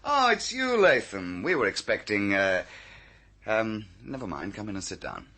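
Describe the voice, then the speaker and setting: Low, raspy, sardonic investigator. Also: male voice, big pitch swings, moderate pace, bright, forceful tone, full character voice. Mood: feigned surprise. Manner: dry, clipped, accusing. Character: shrewd, sardonic, commanding male investigator. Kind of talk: old-time radio drama acting. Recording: old radio drama recording.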